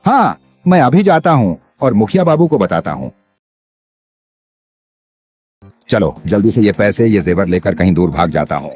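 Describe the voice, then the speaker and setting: An actor playing a greedy, cowardly landlord villain in an animated moral story, exaggerated and theatrical. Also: male voice, fairly low pitch, fairly quick. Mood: sly, hurried. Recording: dull lo-fi audio. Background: music.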